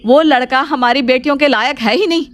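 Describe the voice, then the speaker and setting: A woman's voice: high voice, a little fast, lightly acted. A woman playing an assertive middle-aged mother in a cartoon family drama, firm and persuasive. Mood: indignant.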